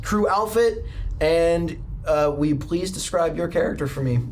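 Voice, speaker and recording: A man's voice: fairly high pitch, normal speed, darkish tone, slight background noise, rough recording. A man narrating a tabletop roleplaying game as game master, setting scenes conversationally in the second person.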